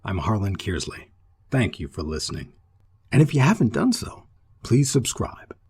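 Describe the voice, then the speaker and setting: An American man hosting and narrating a scripted history documentary in an old-time radio storytelling style, with measured, dramatic emphasis. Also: lowish voice, sing-song, gravelly, darkish tone, breathy edge, dry recording. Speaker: host and narrator.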